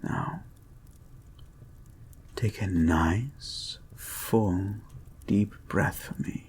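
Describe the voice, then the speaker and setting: Man acting a slow, creepy whispering hypnotist. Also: low-pitched, a little hiss or hum. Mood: coaxing, calm. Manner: soft, breathy, deliberate murmur often dropping into whispers. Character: creepy, obsessive male hypnotist therapist, calm and controlling. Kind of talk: slow guided-meditation hypnosis roleplay, audio drama.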